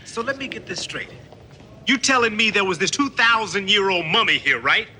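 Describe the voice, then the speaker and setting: An incredulous police detective in a comic film. The voice is piercingly bright and swings animatedly as he needles with sarcastic questions, over faint ambient noise. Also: high voice, expressive, moderate pace, heavily acted.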